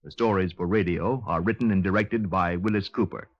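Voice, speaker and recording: A man reading scripted 1950s radio announcements in a formal, measured way. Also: sits low, heavy background noise. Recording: dull, dry 1950s radio recording.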